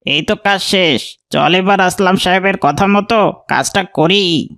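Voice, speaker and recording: A man acts out a young male rascal from a children's cartoon. His voice sits high for a man and his delivery is brash. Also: unhurried, gravelly, a bit bright, full character voice. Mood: conniving. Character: young male troublemaker, hired false accuser. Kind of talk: children's moral cartoon dialogue.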